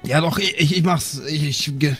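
A man's voice: a little high, moderate pace, fairly bright tone. A young man playing in an improvised role-play, talking casually in slang with a joking manner. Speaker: player in a pen and paper podcast. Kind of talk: improvised in-character casual chat. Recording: faint music bed behind the voice. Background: faint background music.

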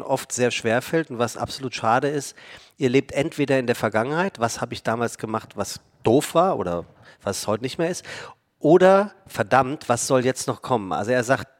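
A man's voice: mid-range voice, long reverb tail. A man in his forties hosting a podcast, asking long, musing questions in a casual interview. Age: 40s. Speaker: podcast host.

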